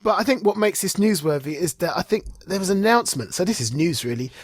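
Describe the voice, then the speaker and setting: Male podcast host, husky, dry close sound, rambling enthusiastic casual chat. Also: sits high, expressive, quick pace, dry recording. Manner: rambling, enthusiastic, searching for words aloud. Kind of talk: casual chat about comics.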